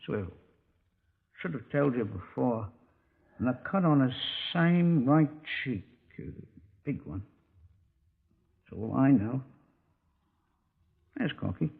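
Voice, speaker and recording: An actor playing a boisterous, hard-drinking former navy petty officer with slangy, rough talk in a 1950s radio drama. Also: male voice, mid-range voice, animated, slow pace, pauses often, heavily acted. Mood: hungover, subdued. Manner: slangy, rough-and-ready working man's talk. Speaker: witness at an identification parade. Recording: dull, lo-fi 1950s radio recording.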